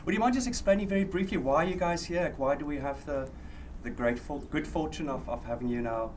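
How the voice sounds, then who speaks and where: Man, event host, enthusiastic, effusive questions, clear background noise. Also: fairly high pitch, fast. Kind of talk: onstage interview at a business event.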